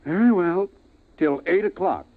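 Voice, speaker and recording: Stern, animated radio-drama father. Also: sits high, measured pace, gravelly, heavily acted. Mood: dry, disapproving. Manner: firm, dryly dismissive. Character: stern, skeptical father of a young girl. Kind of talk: old-time radio drama acting. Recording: muffled vintage radio recording.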